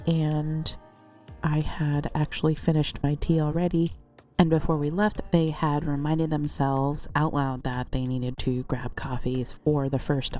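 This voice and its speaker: A woman with a slightly congested, cold-affected voice, telling a personal account in a casual, measured storytelling way. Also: low-pitched, mellow. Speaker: host narrating a personal account.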